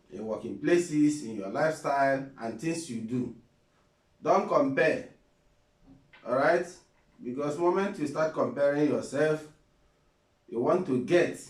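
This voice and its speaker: A man's voice: a little high, a little mellow, far from the mic. A Nigerian man with an Igbo accent giving a casual motivational talk as a vlogger, preachy, repeating phrases and asking rhetorical questions. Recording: roomy and a bit off-mic.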